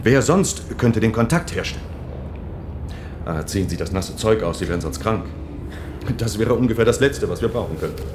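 An actor playing a protective, earnest helper in the underground in a German science-fiction radio drama, speaking with solicitous urgency. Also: male voice, fairly low pitch, expressive, moderate pace, long pauses, noisy background, lightly acted. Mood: earnest, urgent.

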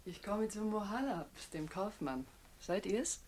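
An actress playing an enigmatic maidservant carrying a message in a German radio play, measured and formal. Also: female voice, medium pitch, lively intonation, a little mellow, slightly rough. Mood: enigmatic, luring. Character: enigmatic maidservant, messenger of her mistress.

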